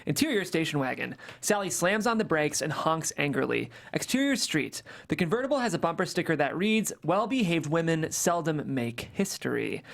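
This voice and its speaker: A woman's voice: deep voice, very animated, normal speed, gravelly, few pauses. A woman hosting a script table read, speaking casually and narrating stage directions.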